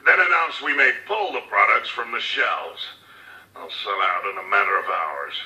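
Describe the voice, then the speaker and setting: An actor playing a cold, calculating crime boss tycoon dictating orders in a measured, menacing, condescending way for a video game audio log. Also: male voice, fairly low pitch, sharp tone. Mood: cold, scheming. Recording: roomy, slightly distant audio log.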